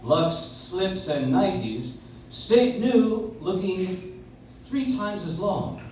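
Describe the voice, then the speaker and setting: A man's voice: sits high, lively intonation, extremely slow, darkish tone, heavily acted. An actor playing a polished, enthusiastic 1940s radio announcer, delivering a live commercial in an emphatic salesman's manner. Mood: promotional. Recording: echoey distant audience recording, faint noise.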